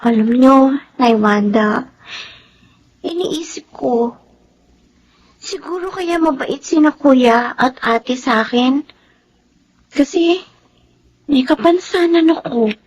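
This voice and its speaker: High, sweet, wistful young girl. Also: animated, slow pace, pauses often, breathy edge, heavily acted, rough recording. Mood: plaintive, yearning. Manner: earnest, plaintive, respectful. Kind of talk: radio drama acting.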